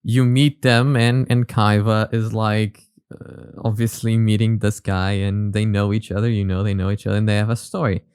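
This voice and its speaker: A man's voice: fairly low pitch, flat delivery, normal speed, darkish tone, smooth, dry recording, clean studio sound. A man hosting a reaction video with casual analytical commentary, often repeating words as he explains.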